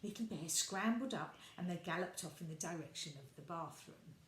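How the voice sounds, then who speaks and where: A woman reading a picture book expressively to children, animated and adding little asides for her young listeners. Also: fairly low pitch, moderate pace. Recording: echoey room with background noise.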